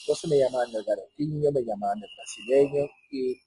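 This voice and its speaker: A middle-aged man with a Portuguese-tinged accent presents a technical webinar, his voice very raspy and dark in tone. The sound is dry and close. He talks conversationally and often restarts words mid-phrase. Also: mid-range voice, fairly quick, dry recording. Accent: Brazilian Portuguese-tinged Spanish. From Chile. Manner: conversational, many restarts and self-corrections. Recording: muffled, hoarse-sounding office audio.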